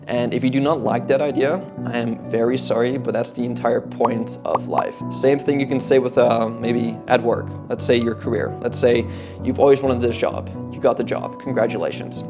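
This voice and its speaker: A man's voice: mid-range voice, fairly even intonation, normal speed. A man in his twenties from the Netherlands, a fitness coach giving a casual motivational talk, rambling and filling his sentences with "like" and "kinda". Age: 20s. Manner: rambling, heavy use of "like" and "kinda". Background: guitar music.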